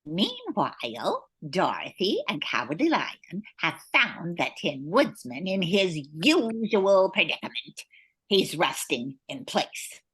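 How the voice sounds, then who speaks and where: A woman playing a bossy munchkin narrator in an amateur radio play, theatrical, with a husky edge. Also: on the low side, huge pitch swings, slow, fairly bright tone, exaggerated voice, rough recording. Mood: storytelling. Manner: theatrical, scolding.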